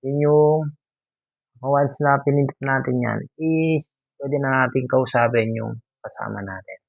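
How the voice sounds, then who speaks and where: A man with a Filipino accent hosting a casual unboxing vlog, explaining things in halting, piecemeal phrases. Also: medium pitch, vocal fry, dry recording, muffled recording. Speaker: YouTube host, product affiliate.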